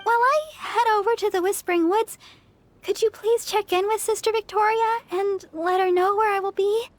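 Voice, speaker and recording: A woman's voice: very high voice, fairly even intonation, moderate pace, slight background noise. A woman voicing a sweet, earnest young church sister in an anime game, speaking politely and eager to please. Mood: thoughtful, polite. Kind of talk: anime game character voice acting. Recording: music behind the voice. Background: game music.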